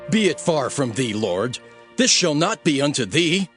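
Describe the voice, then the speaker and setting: An impulsive disciple, excitable, highish voice that swoops around, bible drama with music. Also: male voice, unhurried. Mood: alarmed protest. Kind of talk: dramatized scripture acting.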